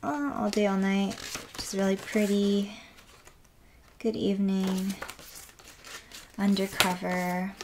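A woman with a raspy voice today, chatting softly and gushing with lots of fillers as she unboxes an album at a table. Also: mid-range voice, steady tone, slow, long pauses, noisy background. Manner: soft, rambling, gushing with many fillers. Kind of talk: casual unboxing chat. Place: indoors at a wooden table.

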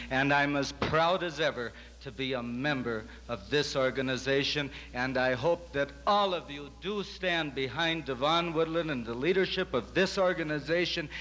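A man's voice: medium pitch, moderate pace, few pauses, a bit bright. A man from Minnesota, a board member who lost an election, giving an earnest, resolute convention speech. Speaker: defeated candidate, board member. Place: large convention hall. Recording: reverberant convention hall with hum.

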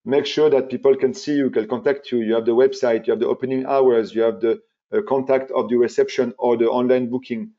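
A man's voice: medium pitch, steady tone, a little fast, mellow. A man, presenting a marketing webinar in an emphatic, repetitive way with many fillers and restarts. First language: French. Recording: dull, muffled webinar audio.

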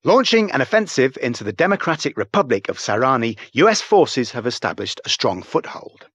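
A man playing a serious male television news anchor in a video game, reading scripted reports in a formal, measured, emphatic manner. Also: medium pitch, big pitch swings, a bit bright, husky, no room sound, heavily acted. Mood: grave, formal.

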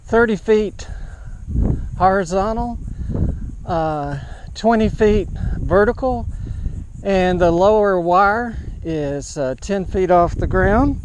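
A man narrating an outdoor setup vlog as an amateur radio operator, in a halting, matter-of-fact way. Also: high voice, slight background noise, low-quality recording. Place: large open grassy park field, outdoors.

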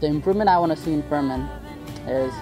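A man's voice: on the high side, fast talker. A young man from Nepal, a college freshman, giving hesitant interview answers outdoors. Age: young adult. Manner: hesitant, restarts phrases. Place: outdoors on a lawn. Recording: background music under voice.

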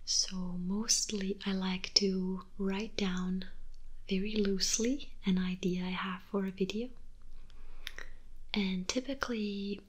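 Slow breathy whispering Danish woman. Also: mid-range voice, steady tone. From Denmark. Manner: soft, slow, unhurried whispering. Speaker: ASMR creator. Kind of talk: whispered ASMR Q&A. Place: bedroom, indoors.

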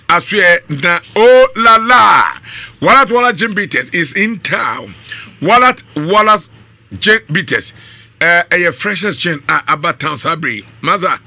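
A man's voice: high voice, sharp tone, no room sound. A middle-aged Ghanaian man hosting a live radio show, speaking close to a studio microphone. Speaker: radio host. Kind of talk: live radio talk show hosting with ad-libbed sponsor reads. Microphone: studio condenser mic on boom arm, up close.

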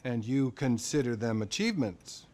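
An actor playing a detached, patient therapist in a video game, probing and slightly glib. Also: male voice, medium pitch, lively intonation, moderate pace, darkish tone, lightly acted. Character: detached, professional male therapist prodding a client. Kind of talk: naturalistic video game cutscene acting.

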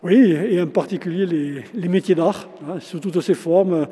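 A man's voice: sits high, a little slow, mellow, slightly rough. A man in his sixties, a shop owner and local historian, talking through a face mask in a casual interview, with many fillers and restarts. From France. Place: large former chapel, indoors. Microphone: handheld interviewer mic, face mask on. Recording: long reverb of a stone chapel.

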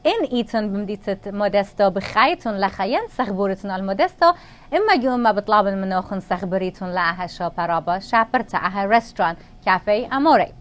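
A woman's voice: medium pitch, animated, quick pace. A middle-aged woman hosting an interview, asking long, flowing questions on a lapel mic in a small lounge. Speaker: interview host. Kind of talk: hosted interview. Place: small indoor lounge with leather walls.

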